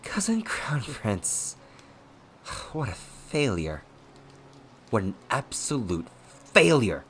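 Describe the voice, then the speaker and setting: A man's voice: low-pitched, sing-song, measured pace, a little hiss or hum. A man voicing a gleefully unhinged, cocky male ghost who mocks and taunts in an audiobook story. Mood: mocking scorn. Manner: cocky, mocking, manic, shouting and swooping.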